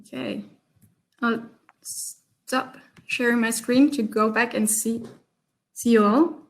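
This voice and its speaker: A woman's voice: on the high side, steady tone, takes it slowly. A woman from Germany, leading an online workshop session over a video call in a chatty, upbeat way with many ums. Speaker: workshop facilitator.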